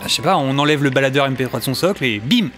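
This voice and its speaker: A man's voice: medium pitch, animated, very fast, fairly bright tone, faint music behind, close to an everyday voice. A man playing an overcautious, nerdy adventurer in an audio comedy, pedantic and fussy. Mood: fussy, worried. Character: overcautious nerdy adventurer fretting over absurd details. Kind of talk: French amateur audio comedy acting.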